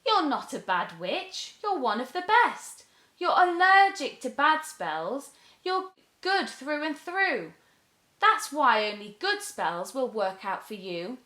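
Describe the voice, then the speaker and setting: A woman's voice: sits high, very animated, slow, pushed and bright, echoey room, pitched above the performer's own voice, heavily acted. A woman voicing a kind, earnest girl in a children's storybook, reassuring and pleading. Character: kind, gentle, earnest girl advising a witch.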